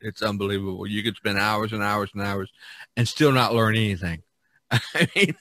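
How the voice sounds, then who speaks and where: A man hosting a casual podcast chat, talking in a rambling, halting way with frequent restarts. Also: lowish voice, bright, forceful tone, dry recording. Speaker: podcast host. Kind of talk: casual chat about painting.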